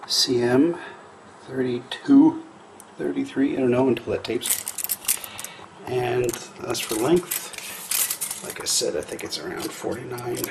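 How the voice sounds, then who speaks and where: A man's voice: mid-range voice, vocal fry, noisy background. A middle-aged man from Canada, talking casually through a kitchen fix in a how-to video, rambling and thinking aloud with lots of fillers. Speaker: DIY maker vlogger. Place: home kitchen.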